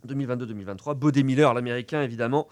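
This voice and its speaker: A man running a sports quiz on a casual radio show, reading out names slowly and laughing often. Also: mid-range voice, darkish tone, no room sound. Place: community radio studio. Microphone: studio microphone.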